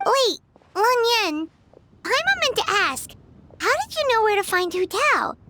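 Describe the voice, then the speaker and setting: A woman voicing a tiny, childlike fairy companion in a video game, curious, excitable, squeaky and exclamatory. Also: unhurried, very noisy, heavily acted. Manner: squeaky, exclamatory, refers to self by name. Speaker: game character voice actor. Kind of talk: scripted video game dialogue. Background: loud game music.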